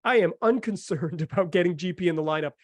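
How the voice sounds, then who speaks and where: A man's voice: high voice, fast talker, no echo. A man hosting a sports podcast, talking informally and repeating phrases for emphasis, close on the microphone. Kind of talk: casual sports talk and analysis.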